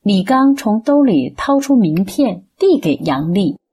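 A woman narrating a radio drama in a measured, expressive, scripted storytelling style. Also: medium pitch, husky.

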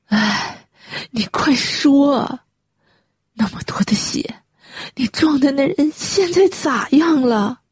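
A woman's voice: high-pitched, expressive, no echo, studio quality. A woman playing an anxious, tearful, upright widowed mother in a Chinese radio drama, sighing and pleading. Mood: urgent, upset. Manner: sighing, pleading, slow and breathy.

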